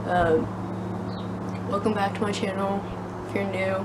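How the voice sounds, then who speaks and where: A young woman with a sore throat talks into her phone outside, earnest and halting, full of fillers. Her voice sits at an ordinary middle pitch. Wind and outdoor noise are clearly heard behind her. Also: little pitch movement. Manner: hesitant, many fillers, earnest. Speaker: vlogger. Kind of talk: casual talking-head vlog. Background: wind and outdoor ambience.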